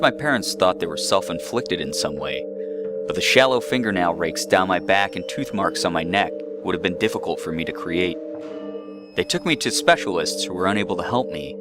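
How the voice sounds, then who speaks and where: A man reading a scripted first-person horror story as narrator. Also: low voice. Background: eerie background music.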